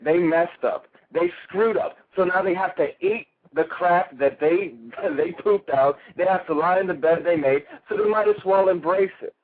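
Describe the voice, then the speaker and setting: Ranting caller with an Italian accent, phone-thin. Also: male voice, sits high, moderate pace, hardly any pauses, slight background noise. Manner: ranting, crude and heated, with stumbles. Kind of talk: call-in radio rant. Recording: tinny phone line.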